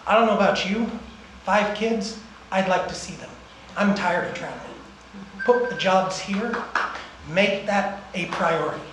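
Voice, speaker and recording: A man's voice: sits high, unhurried, fairly bright tone, echoey room, slight background noise, poor audio. A man giving a direct, earnest public comment at a community meeting in a county meeting room. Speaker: community member asking a question.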